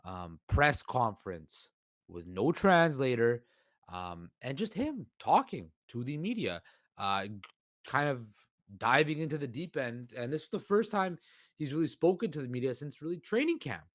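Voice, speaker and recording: Hoarse man rambling through sports talk. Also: medium pitch, big pitch swings, moderate pace, no echo. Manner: rambling, many fillers and restarts. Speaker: podcast host. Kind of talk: solo sports podcast commentary.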